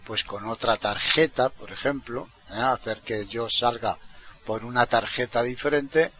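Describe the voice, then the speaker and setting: Spanish man teaching software, muffled recording. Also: medium pitch, unhurried. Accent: Spanish from Spain. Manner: explanatory, often ending phrases with a questioning tag. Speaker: tutorial presenter. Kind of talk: informal step-by-step software tutorial. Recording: dull, lo-fi computer microphone recording.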